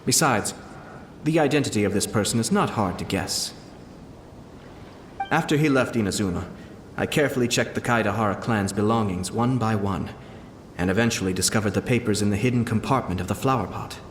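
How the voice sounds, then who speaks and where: Man voicing a low, courteous, quietly cunning young nobleman. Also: slight background noise, natural voice. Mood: measured, serious. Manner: measured, formal, courteous, softly amused. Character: composed young nobleman, clan head, polite and quietly cunning. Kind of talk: video game character dialogue. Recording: roomy echo under clear background music.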